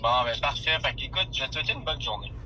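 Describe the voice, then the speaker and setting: A man's voice: mid-range voice, expressive, racing, pushed and bright. A man with a Quebec French accent chatting in a phone conversation. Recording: noisy phone call on speaker.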